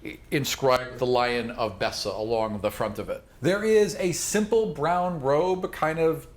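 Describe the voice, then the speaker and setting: A man's voice: mid-range voice, a little slow. A man running a tabletop role-playing game, describing things in an informal, off-the-cuff way with casual asides. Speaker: game master.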